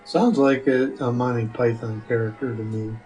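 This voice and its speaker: Hesitant man, medium pitch, chatting. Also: fairly even intonation, a little mellow, faint music behind, rough recording. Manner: hesitant, halting. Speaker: podcast guest. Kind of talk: casual chat, answering questions.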